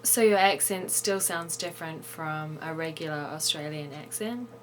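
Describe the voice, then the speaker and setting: A woman host reading a short scripted news item in a clear, measured way, then asking interview questions. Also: low voice, a little hiss or hum.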